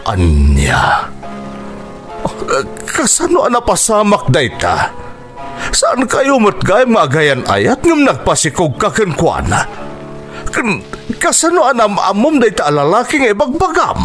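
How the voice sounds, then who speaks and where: Anguished Ilocano father, slow, dramatic swoops. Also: high-pitched, huge pitch swings, a bit bright, faint music behind, heavily acted. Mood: dismayed, questioning. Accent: Ilocano Filipino. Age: middle-aged. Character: anguished, protective father of a grown daughter. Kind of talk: Filipino radio drama acting.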